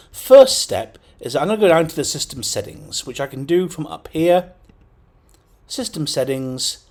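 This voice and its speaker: A man hosting a casual computer tutorial, talking through steps with frequent fillers and checks like "okay?". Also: mid-range voice. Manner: chatty, lots of fillers and okays. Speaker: tech tutorial host. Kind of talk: casual screen-recorded tutorial.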